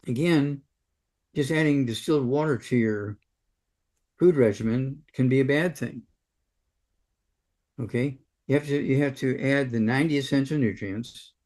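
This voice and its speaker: A man's voice: mid-range voice, a little mellow. A man in his eighties giving casual health advice on a call-in show, rambling and folksy, ending points with "okay?". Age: 80s. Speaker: supplement advocate doctor answering callers.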